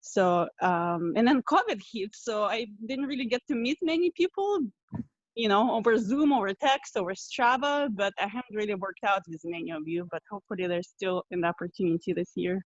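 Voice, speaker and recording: A woman's voice: mid-range voice, animated, a little fast, no room sound. A woman with an Eastern European accent joins a call from her phone. She is apologetic and laughs easily, and the sound is dry and muffled. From Russia. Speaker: club member. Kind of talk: casual self-introduction. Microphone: phone on a video call. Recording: dull, dry phone audio.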